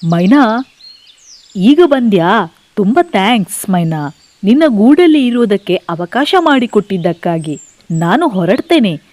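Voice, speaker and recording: A woman voicing a sly, animated scheming crow. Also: medium pitch, a little slow, pauses often, dry recording. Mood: hurriedly polite. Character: scheming male crow after the myna's house. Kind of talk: animated children's bedtime story.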